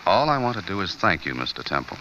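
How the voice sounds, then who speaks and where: A man's voice: sits low, fast talker, dull audio, full character voice. A man acting a terse, brooding American newspaperman in an old radio drama, wry and pressing in his questions. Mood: menacing, sardonic. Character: hard-boiled, brooding newspaperman investigating a young woman's death. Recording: noisy old radio recording, steady hiss.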